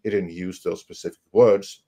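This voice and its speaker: A man giving emphatic, critical commentary on a live panel, often halting and repeating words as he goes. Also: low-pitched, fairly even intonation, warm tone.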